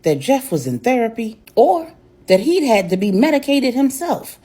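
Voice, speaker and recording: A woman's voice: a little high, big pitch swings, moderate pace, mellow, husky. A woman narrating a dramatic serial story in a colloquial, emphatic way.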